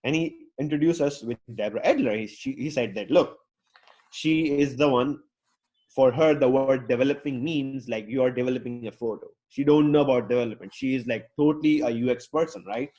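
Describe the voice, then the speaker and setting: A man's voice: mid-range voice, brisk, dark tone, poor audio. A man who learned English as a later language, speaking as a UX designer to an online audience in a rambling, outgoing way full of fillers and restarts. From Pakistan. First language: not English; English is his fourth language. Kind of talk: casual talk to an online audience.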